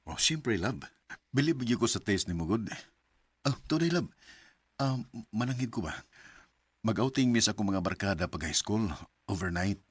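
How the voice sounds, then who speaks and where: A man with a Filipino Bisaya accent playing a smooth-talking young boyfriend in a radio drama, with a slightly husky voice. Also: on the low side, animated, normal speed, pauses often, no echo. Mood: affectionate, hesitant.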